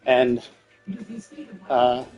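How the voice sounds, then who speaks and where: A man's voice: medium pitch, monotone, extremely slow, dull audio. A man talking casually on a game livestream, thinking aloud in a rambling way and often repeating his words. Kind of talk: casual livestream commentary, speculating.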